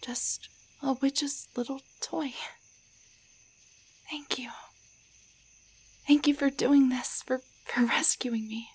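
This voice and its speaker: A woman playing a shy, grateful young waitress turned into a tiny doll in an intimate roleplay audio drama, speaking softly and haltingly, often near a whisper. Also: sits high, steady tone, husky, full character voice, low-quality recording. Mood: grateful, relieved. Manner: hesitant, halting, stammering.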